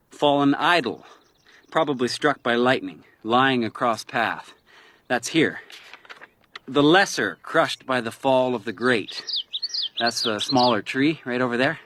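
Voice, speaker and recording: An actor playing an inquisitive, self-assured newspaper reporter, pressing and over-familiar, in a detective radio drama. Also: male voice, mid-range voice, pushed and bright, a little hiss or hum. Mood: precise, musing.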